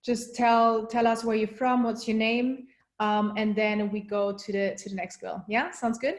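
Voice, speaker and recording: A woman hosting a video call, moving quickly through introductions with friendly, brief affirmations. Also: fairly high pitch, steady tone, reverberant. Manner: friendly, brisk, short affirmations. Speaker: event host.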